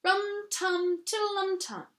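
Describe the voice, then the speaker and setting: A woman's voice: extremely high pitch, little pitch movement, takes it slowly, a bit bright, voice pushed up, exaggerated voice. A woman voicing a cheerful, simple, greedy male bear who hums in a sing-song way. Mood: humming.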